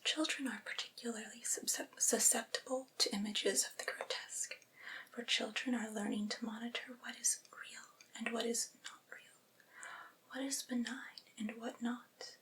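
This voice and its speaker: A woman reading a literary essay aloud for ASMR in a breathy, unvoiced whisper, with hushed, careful phrasing. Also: a little high, steady tone, unhurried, rough recording.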